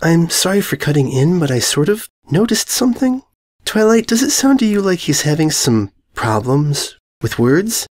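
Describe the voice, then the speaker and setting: A man voicing a shy, gentle female cartoon pony, soft-spoken, hesitant and apologetic, in a story reading. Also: a little high, very animated, normal speed, voice pushed up.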